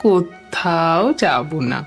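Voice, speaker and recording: A man playing a gentle, wise elderly grandmother in a cartoon, tender and softly admonishing. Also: fairly high pitch, animated, drawn-out words, vocal fry, soft background music, exaggerated voice. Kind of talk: Bengali cartoon voice acting.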